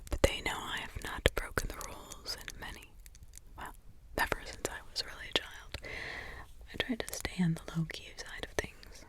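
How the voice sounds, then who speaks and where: A woman's voice: close to an everyday voice, low-quality recording. A woman whispering in ASMR roleplay as a weary, wry witch mother hiding in the forest. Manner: unvoiced whisper, slow and drawn-out. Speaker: ASMR creator.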